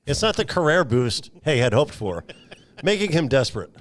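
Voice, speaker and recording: A man's voice: fairly high pitch, big pitch swings, normal speed. A man hosting a show, recapping a film in a casual, chatty style. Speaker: podcast host.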